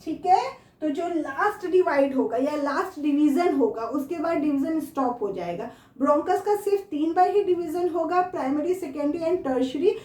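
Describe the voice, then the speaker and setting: High-voiced Indian woman teaching, echoey room. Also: mellow. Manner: didactic, repeats questions and key terms for the learner. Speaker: biology teacher. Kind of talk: classroom lecture for school students.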